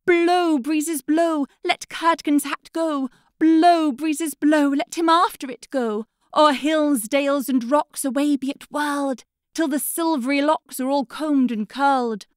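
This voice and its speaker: A woman doing a high, lilting young princess. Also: fairly even intonation, unhurried, no echo, studio quality. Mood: pleading. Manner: lilting, sing-song chanting of rhymes. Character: sorrowful young princess turned goose girl.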